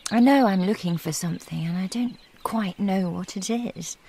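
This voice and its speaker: A woman performs a wistful, sincere young wife in a radio play. Her voice sits at a middle pitch. There is audible ambient noise behind her. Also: moderate pace, creaky, mellow, lightly acted. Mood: wistful, reflective. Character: wistful, earnest young married Englishwoman among convent nuns. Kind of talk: radio drama acting.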